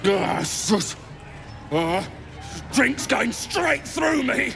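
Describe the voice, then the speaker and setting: A man playing a boastful, belligerent goblin brute in a video game, with a gravelly voice and an English working-class accent. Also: very high-pitched, sing-song, drawn-out words, fairly bright tone, soft background music, exaggerated voice. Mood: rowdy, impatient. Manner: belligerent, taunting. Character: boastful, bullying goblin brute who loves drink. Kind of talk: video game character acting.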